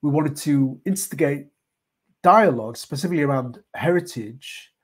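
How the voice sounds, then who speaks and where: A man's voice: on the high side, animated, moderate pace, breathy edge. A middle-aged man with a British accent giving an informal slide presentation over a video call, thinking aloud with frequent hesitations. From United Kingdom. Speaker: artist and project leader presenting a heritage talk. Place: indoor room, online video call.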